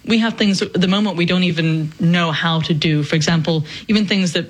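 Woman, news co-host, lower female voice, conversational, laughs lightly. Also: a little fast, poor audio. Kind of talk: news reading and casual commentary.

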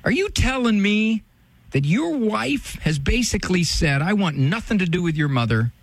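A man's voice: high-pitched, very animated, moderate pace, no echo. A man hosting an advice call-in show, talking directly and firmly and pressing the caller with pointed questions. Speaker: radio advice host.

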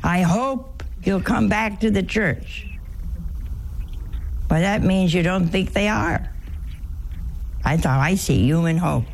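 An older woman with a hoarse, gravelly voice talks slowly and warmly about faith, dropping folksy asides. A faint hiss of room ambience sits under her voice. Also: lowish voice, low-quality recording. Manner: folksy, chuckling asides, slow conversational delivery. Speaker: TV show host, nun. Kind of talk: casual spiritual teaching.

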